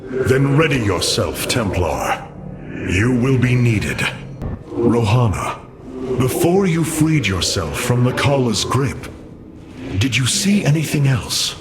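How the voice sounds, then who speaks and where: Resolute alien commander, sweeping intonation. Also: male voice, mid-range voice, very animated, slow pace, pauses often, over loud music. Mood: grave. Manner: grave, commanding, formal. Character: resolute, noble male alien commander rallying his people. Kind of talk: dramatic video game voice acting.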